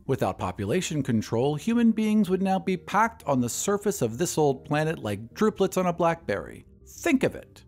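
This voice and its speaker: A man voicing a huge, jovial, self-important male doctor in a booming, hearty manner for an audio drama. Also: big pitch swings, moderate pace, few pauses, darkish tone, soft background music. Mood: severe, lecturing.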